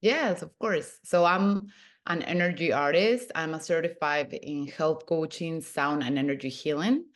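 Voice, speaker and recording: A woman's voice: low-pitched, a little slow. A woman in her thirties from Peru, a podcast guest telling her own story in an earnest way, often restarting her sentences. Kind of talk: casual interview.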